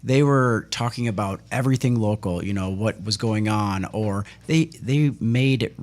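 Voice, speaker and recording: A man's voice: on the low side, a little slow. A man chatting casually on a local radio talk show, rambling with many fillers and restarts as he reminisces.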